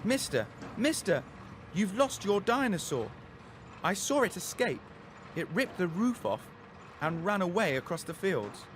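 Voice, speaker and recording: A man voicing an excitable, baffled young boy in a children's audio story, urgent and insistent. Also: sits high, unhurried, a little hiss or hum, pitched above the performer's own voice, full character voice.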